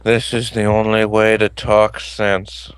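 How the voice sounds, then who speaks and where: Male reader doing a smug stiff cartoon cat, booming from off-mic, slow and low. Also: completely flat delivery, clean tone, no room sound, poor audio. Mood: solemn.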